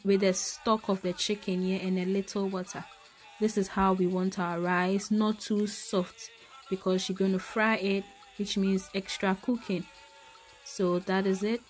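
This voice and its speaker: Slow-talking woman cooking. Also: mid-range voice, level tone, soft background music. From Ghana. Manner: chatty, talks to viewers as "my people", exclaims over the food. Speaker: cooking vlogger. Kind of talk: casual cooking demonstration. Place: home kitchen, indoors.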